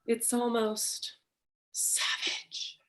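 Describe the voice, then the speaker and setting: A woman playing a frightened, flirtatious femme fatale wife in a staged noir parody, with drawn-out melodramatic delivery. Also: on the high side, completely flat delivery, a bit bright, whispered. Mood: sultry, fearful. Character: frightened, seductive femme fatale wife, breathy damsel in distress.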